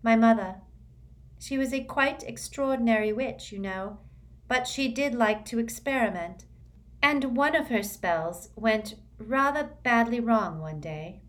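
A serene, unworldly teenage witch girl from a storybook, as a woman reader puts her on. Her voice floats up high and light, airy and lilting, saying strange things quite calmly. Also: a little slow. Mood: dreamy. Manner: airy, matter-of-fact, lilting.

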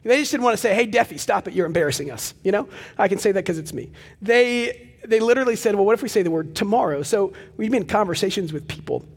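Loud, high-voiced pastor preaching. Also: male voice, fast, gravelly, breathy edge, big-room sound. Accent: Texan. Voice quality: loud, projecting voice. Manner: animated storytelling with asides. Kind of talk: sermon. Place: large church hall with live audience.

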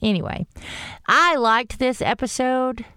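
A woman co-hosts a casual fan podcast, telling stories with lively, rising exclamations. Her voice is smooth and clear, recorded dry and close with no room sound. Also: sits high, unhurried, no room sound. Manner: chatty, animated storytelling with rising exclamations. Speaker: podcast co-host. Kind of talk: casual fan discussion chat.

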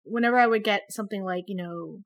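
A woman's voice: mid-range voice, fast talker. A young woman born in the Philippines with an American accent, chatting animatedly and bluntly as a podcast co-host. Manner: animated and blunt, swears casually. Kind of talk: casual chat about a TV show.

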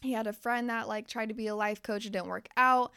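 A woman's voice: on the high side, fairly even intonation, brisk, bright, forceful tone, smooth, no echo, studio quality. A young woman podcast host and life coach who is losing her voice. She talks candidly and swears freely as she speaks straight to her listeners. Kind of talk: casual motivational podcast monologue.

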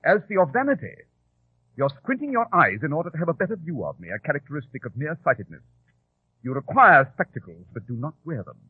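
A shrewd, exacting detective in an old radio drama. The voice is fairly high and very husky, with precise, dry delivery. The broadcast sound is thin and narrow. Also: male voice, very animated, fast talker, dry recording, exaggerated voice. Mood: coolly analytical. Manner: precise, articulate, dryly condescending. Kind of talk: 1940s radio acting. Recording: tinny, band-limited old radio broadcast.

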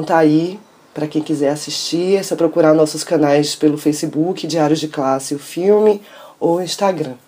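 Woman, middle-aged, deep mellow tone, unhurried filmmaker talk. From Salvador, Bahia, Brazil. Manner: measured, tags sentences with questioning asides, thoughtful pauses. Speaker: filmmaker presenting her documentary. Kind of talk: informal spoken presentation.

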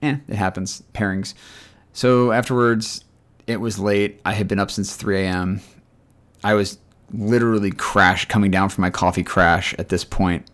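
Low, scratchy-voiced man telling stories. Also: fairly even intonation, normal speed, fairly bright tone. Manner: rambling, chatty storytelling. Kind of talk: casual chat.

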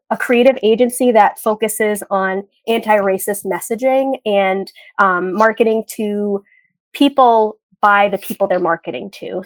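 A woman's voice: medium pitch, dry recording. A woman co-hosting a podcast and interviewing a guest, chatty and upbeat, recording from home over a video call. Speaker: podcast co-host.

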